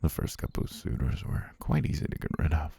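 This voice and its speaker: A man playing an obsessive, genteel butler holding a princess captive, in an intimate, softly menacing ASMR roleplay with a breathy, gravelly, half-whispered delivery. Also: a bass voice, big pitch swings, brisk, no room sound, heavily acted. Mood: smug, confiding.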